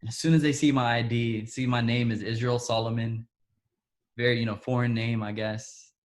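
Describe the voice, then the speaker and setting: Soft-spoken Ethiopian-born man telling his story. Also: mid-range voice, little pitch movement. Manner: halting, many restarts, soft trailing asides. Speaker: interviewee. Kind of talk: personal storytelling in conversation.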